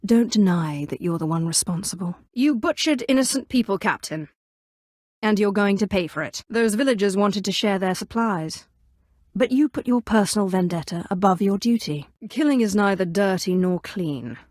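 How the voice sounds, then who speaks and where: A woman's voice: mid-range voice, lively intonation, moderate pace, a little mellow, no room sound, natural voice. An actress voicing an imperious, coolly menacing female Sith in a video game, with a clipped, commanding delivery and dry sarcasm. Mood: stern, accusing, then dry, detached. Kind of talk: scripted game dialogue, standalone voice lines.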